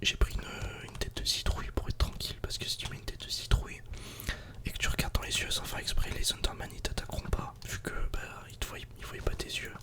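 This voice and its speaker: Whispering man, ASMR gaming. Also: brisk. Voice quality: breathy unvoiced whisper. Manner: calm, casual, murmured play-by-play. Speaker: ASMR gaming video creator. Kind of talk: whispered ASMR game commentary.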